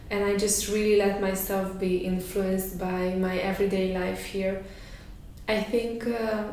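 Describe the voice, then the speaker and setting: A woman speaks in a flat, even tone, thinking aloud and filling her pauses with soft fillers. Her voice rings through a very echoey room, heard from some distance off the mic. Faint noise hangs underneath. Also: mid-range voice. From Romania. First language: Hungarian. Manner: hesitant, thoughtful, pauses with many fillers. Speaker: artist in residence. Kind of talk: reflective interview answers.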